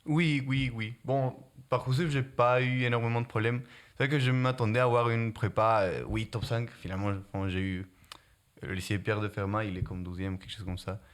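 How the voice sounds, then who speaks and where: A man's voice: medium pitch, steady tone. Young man with a slight Spanish accent. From Guatemala. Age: young adult. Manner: halting, many restarts, searches for words. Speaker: prep-school student. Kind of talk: casual interview answers.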